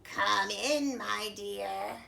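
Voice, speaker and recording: A woman's voice: fairly high pitch, big pitch swings, very slow, pushed and bright, slight background noise, full character voice. A woman voicing a big, hungry male wolf with a sly, growling manner in a storybook reading. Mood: menacing.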